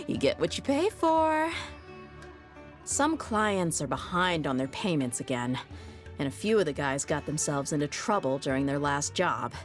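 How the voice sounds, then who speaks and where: Low-voiced tough mercenary woman. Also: sing-song, measured pace, pauses often, soft background music. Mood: delighted, then weary. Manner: casual, frank, offhand. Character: tough, easygoing young desert mercenary woman, loyal and blunt. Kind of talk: video game character voice lines.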